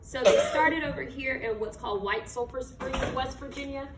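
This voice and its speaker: An American woman reading a picture book aloud to children like a teacher, with expressive, emphatic delivery and questions to her listeners. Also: high-pitched, normal speed. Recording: echoey room, distant mic, background noise.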